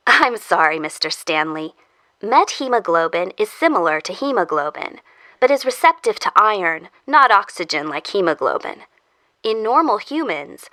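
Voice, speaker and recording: A woman's voice: medium pitch, bright, forceful tone, no room sound. A woman playing a polite scientist who explains things clinically in an audio drama. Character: polite female scientist researching a zombie immunity.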